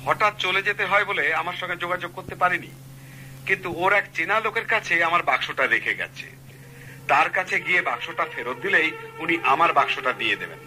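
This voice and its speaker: An actor playing a polite, eager middle-aged gentleman speaking on the telephone, brisk and courteous. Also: a little high, expressive, slight background noise, low-quality recording. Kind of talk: 1970s radio drama acting.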